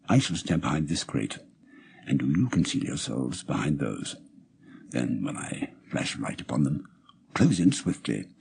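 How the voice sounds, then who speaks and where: A man voicing a calm, shrewd British detective, husky and hushed, speaking with deliberate authority. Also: very deep voice, a little hiss or hum, voice pushed down, exaggerated voice.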